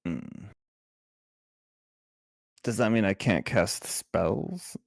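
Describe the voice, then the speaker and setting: A man's voice: on the low side, very slow, gravelly, dry recording, clean studio sound. A man chatting casually as a player at a tabletop game, with a playful, wry manner.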